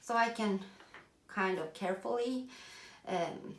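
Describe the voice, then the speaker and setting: Slow-talking Norwegian woman, echoey room. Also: medium pitch, a little hiss or hum. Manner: chatty, self-deprecating, laughs often, searches for words. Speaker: craft video host. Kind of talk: casual tutorial talk while working.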